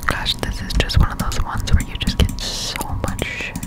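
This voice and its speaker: A woman speaks entirely in a breathy whisper, close to the mic. She gives casual, musing ASMR commentary as she plays a game, in a halting flow. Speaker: gaming streamer, ASMR creator. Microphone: close-up microphone.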